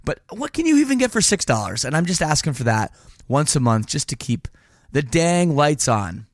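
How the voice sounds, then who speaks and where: A slightly hoarse man hosts his podcast in a casual, rambling way, tossing in self-deprecating jokes. His pitch swings widely as he talks. The sound is close and dry, with no room around him. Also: very animated, moderate pace, no room sound. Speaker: podcast host. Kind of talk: casual chatty podcast intro.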